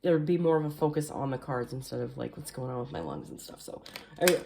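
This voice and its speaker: A woman with a low voice reads tarot cards at a table at home, talking off camera. She is chatty and rambling, full of little fillers, and sounds a bit nasal and hoarse from allergies. There is a faint hiss behind her. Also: very animated, brisk. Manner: chatty, rambling with many fillers, occasional coughs. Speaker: tarot reader. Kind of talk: casual tarot card reading. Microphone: camera facing the table, speaker mostly off screen.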